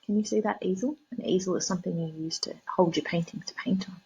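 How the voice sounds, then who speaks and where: A woman's voice: lowish voice, fast talker, darkish tone, breathy edge, low-quality recording. An Australian woman, a primary teacher, reading a storybook to young children, explaining words and prompting them with questions.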